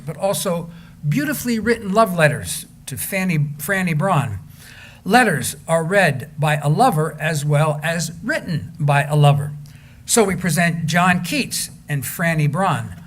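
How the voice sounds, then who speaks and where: A man's voice: sits high, fairly bright tone, slight background noise. A man presenting scripted introductions to literary readings for an audience.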